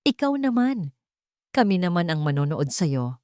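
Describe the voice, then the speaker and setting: A gentle young father, read by a woman narrator in an audiobook romance. He speaks with tender, earnest gratitude and moving intonation, in a close, dry sound. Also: fairly low pitch, sing-song, a little slow, no room sound. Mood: gently playful.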